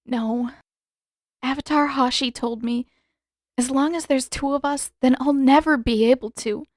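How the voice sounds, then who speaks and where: A woman's voice: high-pitched, steady tone, measured pace, dry recording, clean studio sound, voice pushed up. Woman voicing an earnest, hurting teenage girl. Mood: sad, explaining. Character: teenage girl hero, earnest, hurt, holding back tears. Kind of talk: dramatic audiobook character reading.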